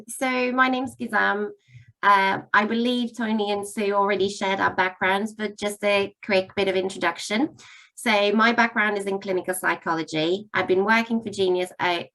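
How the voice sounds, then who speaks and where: A woman's voice: mid-range voice, poor audio. A woman coach with a psychology background, opening a work webinar in a friendly, chatty way with frequent fillers. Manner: warm, chatty, lots of fillers. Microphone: video call mic.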